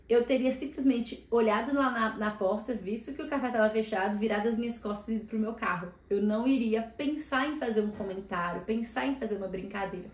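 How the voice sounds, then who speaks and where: A woman in casual chat, vlogging and telling about her week with lively asides. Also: fairly high pitch. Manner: chatty, animated storytelling with frequent asides. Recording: echoey room.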